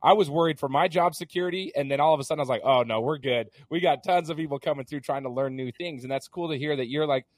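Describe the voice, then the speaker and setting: A man hosting a podcast interview in a casual, chatty way, hoarse as he gets over an illness. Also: a little high, fast talker, hardly any pauses, no echo. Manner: chatty, many fillers and restarts. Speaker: podcast host.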